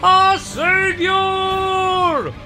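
A man's voice: extremely high pitch, steady tone, very slow, raised voice, music in the background, voice pushed up, full character voice. A man voicing a fervent, reverent elder astrologer and teacher in a dramatic story reading. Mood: exultant.

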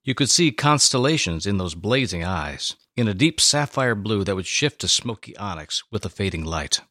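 A man reading scripted dramatic narration with a theatrical, expressive delivery. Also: lowish voice, moderate pace, no room sound, studio quality.

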